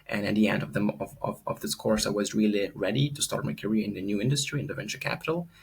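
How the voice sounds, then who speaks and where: A man's voice: fairly low pitch, fairly even intonation, quick pace, creaky, breathy edge. A young man from Lithuania, giving an earnest, unscripted course testimonial full of fillers and repeated words.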